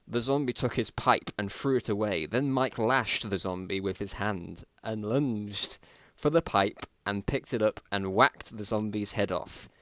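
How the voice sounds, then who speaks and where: Bright-voiced man giving a mock-dramatic story reading. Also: fairly low pitch, unhurried, no echo. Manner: amused, breaks into asides and mockery. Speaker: reader of own old story.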